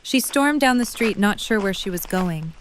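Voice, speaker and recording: A woman's voice: mid-range voice, expressive, moderate pace, slight background noise, rough recording. A woman reading scripted narration for a dramatic audio story.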